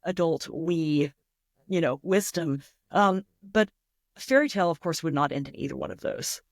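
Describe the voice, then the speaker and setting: A woman's voice: deep voice, expressive, normal speed, no echo. A woman talks as a guest writer in an interview. She is reflective and enthusiastic, working through ideas with imagined examples. The sound is dry, with hardly any room on it. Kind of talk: thoughtful interview talk.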